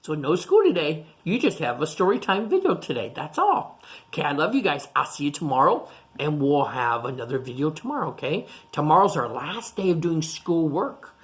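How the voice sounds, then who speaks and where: A low-voiced woman reads to young children as their teacher. Her pitch swings widely as she tells the story, and she explains things in simple, child-directed words. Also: big pitch swings, moderate pace. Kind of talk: picture-book read-aloud with simple explanations to young children.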